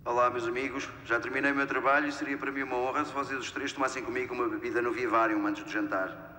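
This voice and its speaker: A man's voice: medium pitch, fairly even intonation, fast, sharp tone, a little hiss or hum, lightly acted. A man with a European Portuguese accent playing a serene, grandiose genius tycoon in a comic drama, speaking formally and eloquently. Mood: cordial. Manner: formal, self-satisfied, eloquent monologue.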